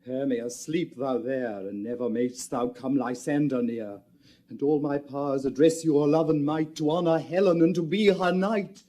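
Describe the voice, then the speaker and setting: A man's voice: medium pitch, normal speed, mellow, natural voice. A man playing Lysander, an ardent young lover speaking earnest, impassioned verse. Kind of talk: stage drama acting.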